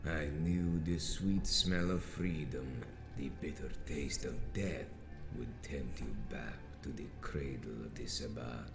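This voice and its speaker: An actor playing an ominous, solemn vampire cult elder in a video game, preaching in a grandiose, menacing, deliberate way. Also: male voice, rumbling low, steady tone, vocal fry, exaggerated voice. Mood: solemn, welcoming. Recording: echoing voice under game music.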